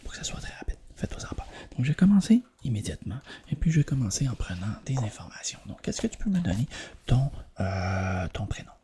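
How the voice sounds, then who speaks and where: A man with a Quebec French accent doing a soft, hushed, reassuring ASMR roleplay as an examiner. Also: mid-range voice, big pitch swings, brisk, a little hiss or hum.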